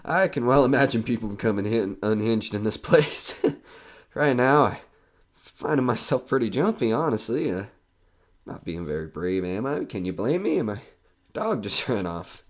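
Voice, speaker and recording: A man's voice: mid-range voice, big pitch swings, normal speed, lightly acted. A man playing a nervous, skeptical broadcaster alone in a haunted house in an audio horror drama, rambling and joking anxiously. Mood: jumpy, confiding.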